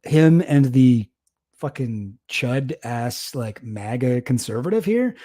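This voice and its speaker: A young male streamer talks off the cuff in a mellow, relaxed voice. He rambles, laughs easily and puts himself down as he goes. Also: mid-range voice, slow pace. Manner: rambling, self-deprecating, laughs often. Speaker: streamer reacting to a debate. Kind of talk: casual livestream commentary.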